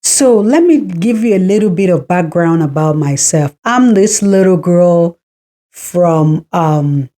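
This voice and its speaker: A woman's voice: lowish voice, lively intonation, slow, mellow. A woman in her forties from Cameroon, hosting a podcast and telling her personal story in a reflective, encouraging way. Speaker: podcast host.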